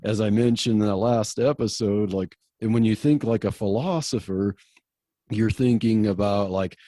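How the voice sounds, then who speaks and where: A man's voice: on the low side, normal speed, warm tone, no echo, clean studio sound. A man co-hosting a podcast, explaining theology in a casual, eager way with some stammering. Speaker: podcast co-host.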